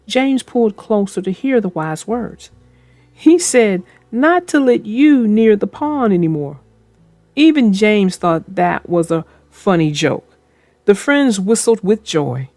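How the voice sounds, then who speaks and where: A woman reading a children's storybook aloud in a slow, expressive way, then chatting casually about it. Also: mid-range voice, dry recording. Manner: slow, expressive read-aloud with character voices. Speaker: storybook reader and co-host.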